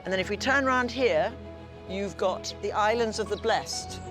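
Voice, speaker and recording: A woman's voice: medium pitch, moderate pace. Woman guiding, music behind. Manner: polite, chatty explaining with tag questions. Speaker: estate owner giving a tour. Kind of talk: conversational guided tour.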